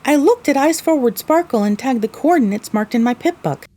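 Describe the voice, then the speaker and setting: A woman's voice: high-pitched, animated, a little fast, dark tone. A woman playing a young pony mare who narrates her own adventure in an audio drama. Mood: earnest, storytelling. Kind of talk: scripted first-person audio drama narration.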